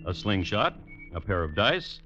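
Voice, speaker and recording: Wry, low-voiced radio narrator, a man. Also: very animated, unhurried. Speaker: host and narrator. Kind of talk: 1950s radio scripted true-crime narration. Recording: muffled old radio recording. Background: music.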